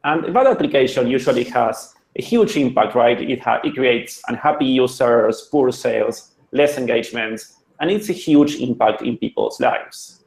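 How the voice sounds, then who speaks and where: An Argentinian man presents to developers over a video call, friendly and joking, with loose restarts. His voice has a gravelly edge. The room sounds echoey through his computer mic. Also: mid-range voice, expressive, normal speed, few pauses, a little mellow. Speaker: web designer presenting a talk. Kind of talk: online conference presentation.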